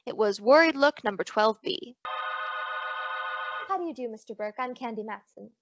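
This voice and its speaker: A woman's voice: fairly high pitch, animated, moderate pace, music in the background. A woman playing a sassy, breezy lady detective with wry quips in an old-time radio drama. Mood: breezy, teasing. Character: sassy, wisecracking lady private detective, confident and flirtatious. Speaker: detective lead and narrator. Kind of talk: old-time radio detective acting and first-person narration. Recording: dull lo-fi audio.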